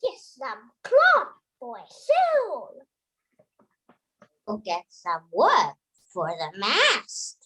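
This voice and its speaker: A woman's voice: sits high, huge pitch swings, pushed and bright, husky, exaggerated voice. A woman playing a spirited, cheeky animal companion in a theatrical folktale reading. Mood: eager. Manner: slow, theatrical, exclamatory delivery.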